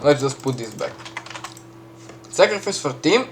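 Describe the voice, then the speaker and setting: A man with a Serbian accent casually walking through a game map he built, chatty, full of fillers and quick self-corrections. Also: on the high side, very animated, a little hiss or hum. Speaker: map creator giving a tour. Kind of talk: casual gameplay walkthrough.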